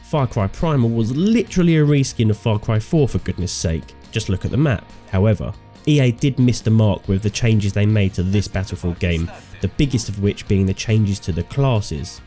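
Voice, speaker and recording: Sick guy, kinda nasal, warm low-ish tone, snarky game review over soft music. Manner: opinionated, sardonic. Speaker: gaming video host.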